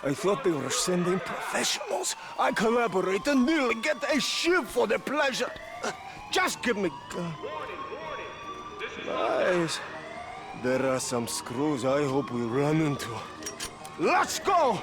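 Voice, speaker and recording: An actor playing a scornful, excitable prisoner being broken out in a video game, speaking loudly and emphatically over music and sirens. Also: male voice, sits high, takes it slowly, heavily acted. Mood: scornful, excited. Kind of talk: video game cutscene acting.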